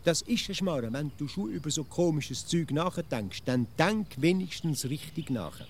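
A man's voice: a little high, lively intonation, a little slow, a little hiss or hum. An actor playing a stubborn, grumbling provincial Swiss amateur philosopher in a comic radio play, with a Swiss accent in his German. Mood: irritated. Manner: pedantic, grumbling, emphatic.

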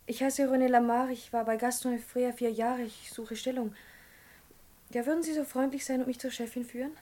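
An actress playing a meek, earnest young job seeker in a 1960s radio play, polite, timid and apologetic. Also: female voice, fairly high pitch, steady tone, fairly quick, dark tone, natural voice. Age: young adult.

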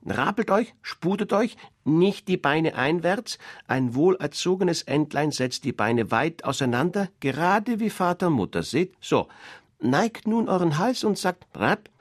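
A man's voice: big pitch swings, unhurried, dry recording, studio quality. A man reading a fairy tale aloud, voicing a fussy, proud mother duck in a raised, scolding voice. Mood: bossy. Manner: shrill, scolding, bossy. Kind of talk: storybook reading.

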